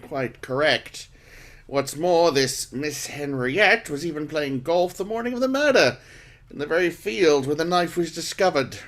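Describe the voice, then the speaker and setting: Casting: flustered male police chief, lightly high, forceful and very animated, period radio play. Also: a little slow. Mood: insistent. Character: harried, indignant male police chief under pressure. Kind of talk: radio drama acting.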